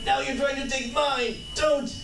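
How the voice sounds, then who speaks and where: Man playing high-pitched frightened folksy street beggar. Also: steady tone, a little slow. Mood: pleading, panicked. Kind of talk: 1940s radio drama read at a live panel. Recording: roomy distant mic, noisy convention room.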